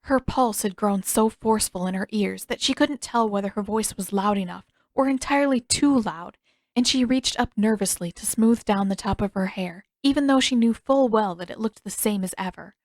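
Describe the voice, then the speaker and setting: A woman narrates a piece of written fiction as a storyteller. Her voice is medium in pitch, and the recording is dry with no room sound. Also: moderate pace, dry recording. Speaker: podfic narrator and author.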